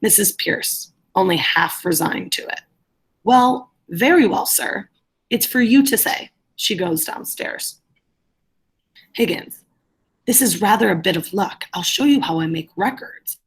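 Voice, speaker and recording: A woman with a slightly rough, husky voice at a medium pitch. She reads play lines and stage directions aloud, stepping out to direct the other readers as a teacher would. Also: animated, unhurried, poor audio. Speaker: teacher leading a reader's theatre class.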